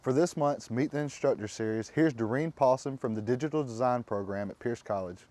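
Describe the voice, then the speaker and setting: A male host with a mellow, dark-toned voice at a middling pitch reads a scripted introduction. The sound is dry, with no room around it. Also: fairly quick, no room sound. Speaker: show host.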